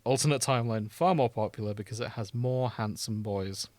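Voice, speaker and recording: A man's voice: on the low side, fairly quick, darkish tone, dry recording. A man co-hosting a podcast in casual, conversational chat. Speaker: podcast co-host.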